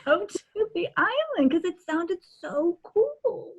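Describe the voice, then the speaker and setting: A middle-aged woman co-hosting a casual video-call chat, excitable and halting, often repeating herself, with a husky voice. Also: very high voice, dull audio. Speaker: co-host. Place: indoor room, on a video call.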